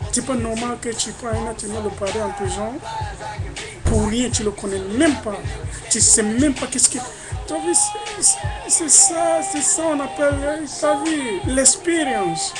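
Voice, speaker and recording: A man's voice: very high voice, lively intonation, measured pace, over loud music. A man from Africa speaking into his webcam in an emphatic, indignant monologue, often repeating his phrases. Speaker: vlogger.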